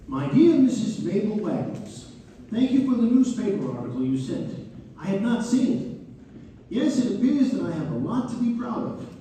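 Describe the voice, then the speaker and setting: A man's voice: high-pitched, big pitch swings, normal speed, dark tone. A man playing Harry Houdini as a proud, fussy young escape artist composing a letter in a theatrical read-through. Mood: proud, cheerful. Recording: distant mic in echoing hall, faint noise.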